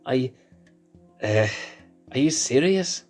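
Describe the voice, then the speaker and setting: A man's voice: mid-range voice, expressive, takes it slowly, darkish tone, faint music behind, pitched above the performer's own voice. A man voicing a brash young lion prince. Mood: defensive. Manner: blunt, gruff, defensive.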